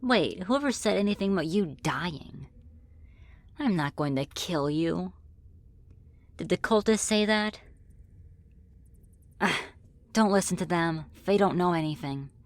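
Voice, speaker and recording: A woman's voice: on the low side, expressive, a little slow, pauses often, dry recording. A woman voicing a friendly, teasing female demon in an ASMR roleplay, with casual, politely menacing delivery. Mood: gently teasing. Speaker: roleplay voice performer. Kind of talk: ASMR roleplay, scripted one-sided dialogue.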